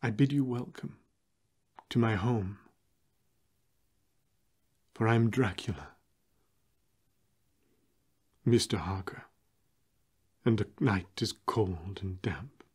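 A man playing a pompous, fussy aristocratic vampire, theatrical and archaic, in a soft ASMR roleplay. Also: fairly low pitch, slow pace, pauses often, slightly rough. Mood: grand. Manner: theatrical, archaic, hesitant asides.

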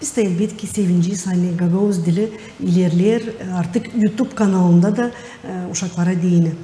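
Mellow Gagauz woman hosting in roomy studio. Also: on the low side, steady tone, a little fast. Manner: hesitant, searching for words. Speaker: talk show host. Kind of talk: studio interview. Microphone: lapel. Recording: roomy, reverberant studio with faint noise.

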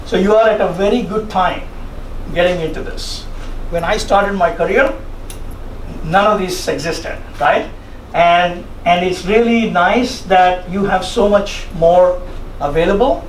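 A man's voice: high-pitched, a little slow. A middle-aged man with an Indian accent gives an encouraging, conversational keynote to students, often checking in with "right?" or "okay?". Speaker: senior research executive giving a keynote. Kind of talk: orientation keynote speech to students. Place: conference room, indoors. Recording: roomy, distant mic, noisy room ambience.

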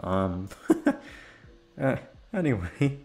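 A young man rambling in a casual chat, swearing often and jumping into high-pitched exclamations. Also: big pitch swings, drawn-out words, darkish tone, soft background music. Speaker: audio roleplay creator.

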